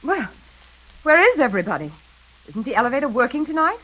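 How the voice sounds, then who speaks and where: Frightened, haunted woman, swooping pitch. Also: big pitch swings, pushed and bright, full character voice, low-quality recording. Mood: uneasy. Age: 30s. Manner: anxious, dramatic, halting. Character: frightened single woman haunted by her mother's killer. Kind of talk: 1940s radio suspense drama acting. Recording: old radio recording, faint music behind.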